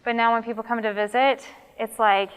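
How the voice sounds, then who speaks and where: A woman's voice: fairly high pitch, steady tone, fairly quick, clean tone. A woman, an academic, giving a spoken interview answer. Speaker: associate professor interviewee. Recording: long reverb of a hall.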